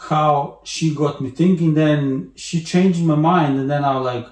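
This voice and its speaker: A man from Bulgaria with a Bulgarian accent, chatting as an interview guest in a halting way with restarts and many fillers. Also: a little high, fairly even intonation, a little mellow, roomy sound. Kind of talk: casual interview chat.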